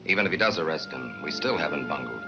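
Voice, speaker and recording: A man's voice: a bass voice, sing-song, fast talker, creaky, exaggerated voice, rough recording. An actor playing a cool, calculating gangster henchman in a 1940s film serial. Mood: calmly scheming. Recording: old film soundtrack, loud music.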